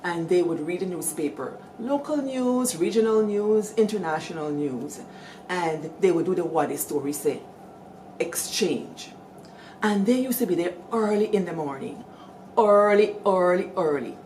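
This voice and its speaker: A middle-aged Guyanese woman hosting, telling village stories in a lively, animated way and calling out to her listeners. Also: on the low side, a little slow, slight background noise, low-quality recording. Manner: lively, animated storytelling with call-outs to listeners. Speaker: storyteller host. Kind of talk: storytelling talk.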